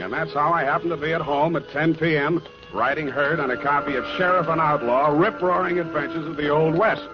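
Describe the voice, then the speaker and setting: A man's voice: a little high, natural voice. An American actor playing a wry, easygoing private detective in 1940s radio style, with hesitant asides as he talks. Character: wry, easygoing male private eye telling his story. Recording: muffled 1949 radio recording with music.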